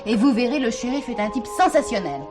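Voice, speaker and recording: Actress as an animated, chatty, cheerful woman. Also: on the high side, quick pace, over music, lightly acted. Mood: teasing, cheerful. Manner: teasing, animated. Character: cheerful, chatty divorced woman, a saloon regular. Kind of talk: French radio drama acting.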